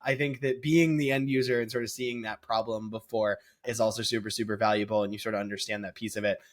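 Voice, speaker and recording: A man interviewing in a casual, chatty style, informal and halting in how he puts his thoughts. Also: lowish voice, fairly even intonation. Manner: informal, halting, acts out imagined dialogue.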